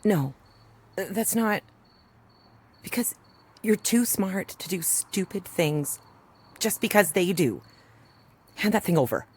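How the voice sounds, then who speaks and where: A woman's voice: mid-range voice, animated, takes it slowly, pauses often, darkish tone, husky. A woman playing a protective, wry mother and rural doctor in a naturalistic audio drama, dry and plain-spoken. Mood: exasperated, protective.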